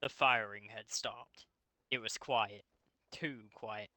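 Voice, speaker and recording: A man's voice: fairly low pitch, slow pace, bright, forceful tone, no room sound. A man hosting a comic fanfiction reading, switching between narration and mocking, sweary casual commentary. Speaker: host reading a story aloud.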